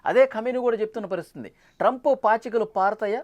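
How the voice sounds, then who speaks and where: A woman's voice: fairly high pitch, a little fast, a little mellow, muffled recording. A woman anchoring a news discussion, setting out the background and putting questions to an analyst.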